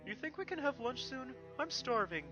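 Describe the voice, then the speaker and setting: A man voicing an excitable young boy dragon from a cartoon fantasy, eager and full of questions. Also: very high-pitched, moderate pace, over music, voice pushed up. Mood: casual.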